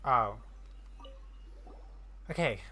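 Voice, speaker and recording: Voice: mid-range voice, animated, very slow, sharp tone. A gaming streamer giving casual playthrough commentary and reading dialogue aloud, self-deprecating and stumbling over words.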